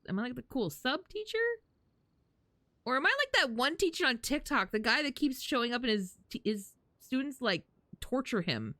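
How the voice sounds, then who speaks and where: A woman's voice: on the high side, sharp tone, no room sound. A woman live streamer chatting informally and slangily with her audience while reacting to a game. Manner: informal, slangy, talking to chat.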